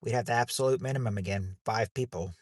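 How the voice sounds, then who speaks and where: A man's voice: lowish voice, quick pace, no echo, clean studio sound. A man hosting a livestreamed game night, chatting loosely and often restarting his sentences. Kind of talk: casual chat while hosting a game.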